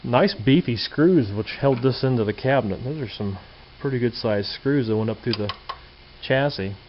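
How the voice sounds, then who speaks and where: A man with an average-pitched voice talks casually, like a hobbyist showing his project. His manner is folksy, thinking out loud as he goes. The sound is dull and lo-fi, with a light hiss behind him, as from a handheld camera in a workshop. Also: normal speed. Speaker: hobbyist presenter. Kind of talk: casual hands-on show-and-tell commentary. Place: indoor home workshop or garage. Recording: dull lo-fi camcorder audio with hiss.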